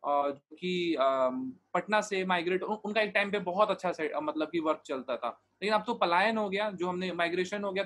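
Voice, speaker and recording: High voiced guy in an interview, earnest, lots of restarts and english words mixed in. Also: fairly bright tone. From Bihar, India. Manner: earnest, explains at length with many restarts and fillers; mixes English words and phrases into his speech. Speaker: interview guest, digital marketer. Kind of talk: casual interview talk.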